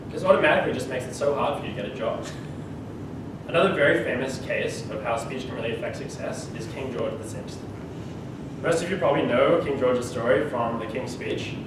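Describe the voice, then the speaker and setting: A man's voice: medium pitch, a little fast, background noise. A teenage boy with an Australian accent giving a humorous, conversational speech to his class. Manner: humorous, conversational, laughs mid-sentence. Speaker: student speaker. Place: school classroom. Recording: echoey room, far from the mic.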